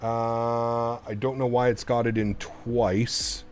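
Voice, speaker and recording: A man's voice: mid-range voice, flat delivery, slow pace, slight background noise. Chatty man thinking aloud to his viewers. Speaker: flight sim streamer. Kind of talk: casual live-stream commentary while programming a flight computer.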